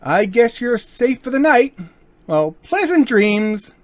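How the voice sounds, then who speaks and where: Man playing a suave gentleman burglar, animated delivery. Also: very high-pitched, takes it slowly, exaggerated voice. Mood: smug, cool. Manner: courteous, coolly threatening. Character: suave, polite but menacing male gentleman burglar. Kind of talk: old-time radio crime drama acting.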